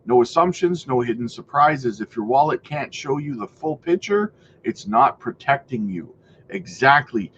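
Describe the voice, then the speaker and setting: A man's voice: medium pitch, big pitch swings, fairly bright tone, highs cut off, slight background noise. Stuffy-nosed man hosting crypto news. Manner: exasperated asides, frequent "guys", halting restarts. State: stuffy nose, coming down with a cold. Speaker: crypto news channel host. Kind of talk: casual news commentary and reading articles aloud.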